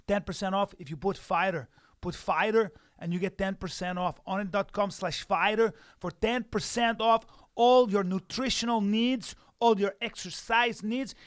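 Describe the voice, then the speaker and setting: A man's voice: very high voice, fairly bright tone. A man voicing a brash, hot-tempered Brazilian jiu-jitsu fighter in a comic ad read, boastful, repetitive and foul-mouthed, with a put-on Brazilian Portuguese accent. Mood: emphatic.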